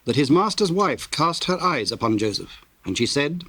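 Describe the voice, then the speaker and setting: A man's voice: fairly high pitch, big pitch swings, fairly bright tone, slightly rough, dry recording. Solemn male scripture narrator, dry sound. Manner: solemn, measured, formal.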